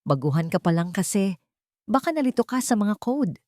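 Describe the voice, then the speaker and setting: A smooth, scheming young heir in a Filipino audio drama, played by a woman narrator. He talks with false concern and a Filipino accent, in a dry recording. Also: medium pitch, big pitch swings, normal speed, dark tone, no echo, lightly acted. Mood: feigned concern. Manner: falsely solicitous. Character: smooth, scheming male company heir feigning kindness.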